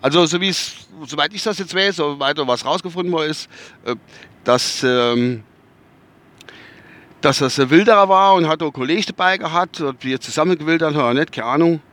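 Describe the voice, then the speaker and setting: A man's voice: on the high side, a little fast, a bit bright. Rambling man with a Westphalian accent. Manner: rambling, searching for words, many fillers and restarts; swears casually. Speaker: podcast host. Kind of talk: casual spoken podcast monologue. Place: inside a car while driving.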